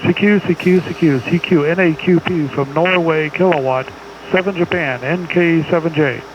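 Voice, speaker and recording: Higher-pitched male ham radio operator, noisy room. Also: normal speed, muffled recording. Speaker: amateur radio operator running a contest. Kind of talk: clipped radio contest exchanges, phonetic spelling. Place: small home radio room indoors. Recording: clear background noise.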